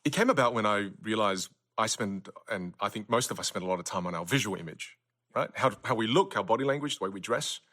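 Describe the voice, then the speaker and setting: A man's voice: lowish voice, expressive, fast talker, pushed and bright. A man as a podcast guest, explaining his ideas and acting out little quoted reactions. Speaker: communication coach guest. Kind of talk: interview answers.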